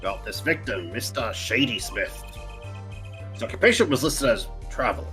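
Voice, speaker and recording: A man's voice: medium pitch, expressive, moderate pace, a bit bright, faint music behind, lightly acted. A man playing a stately, puzzled courtroom judge from a video game, speaking formally and with measure.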